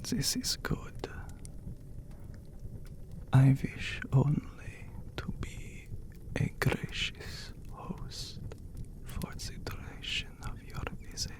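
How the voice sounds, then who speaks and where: A man playing an ancient, courteous, brooding vampire count in an ASMR roleplay, speaking in a breathy, gravelly hush with formal phrasing and long pauses. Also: mid-range voice, big pitch swings. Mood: courteous, gracious. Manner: slow, formal, hushed, with long dramatic pauses. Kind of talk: ASMR romantic roleplay, scripted character acting.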